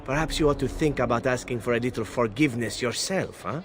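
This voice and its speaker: An actor playing a devout, cheeky assassin in a video game, with a teasing, self-assured manner, game music behind him. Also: male voice, mid-range voice, quick pace, close to an everyday voice. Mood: mock offended, smug. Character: devout, cheeky assassin, untroubled by his own sins. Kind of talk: video game companion banter.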